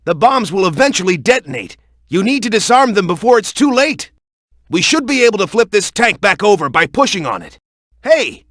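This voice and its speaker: A man's voice: sits high, sharp tone, no room sound, studio quality, exaggerated voice. A man playing a tough, hot-headed young hero in a video game, speaking in a brash, urgent, punchy way. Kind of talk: video game character voice clips.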